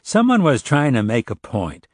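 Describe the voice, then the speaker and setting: A man's voice: medium pitch, wildly swooping pitch, normal speed, no echo, studio quality, pitched above the performer's own voice. A man voicing a wry, insistent male police detective questioning someone in an audiobook. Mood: pressing. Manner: wry, pressing. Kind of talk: audiobook character dialogue.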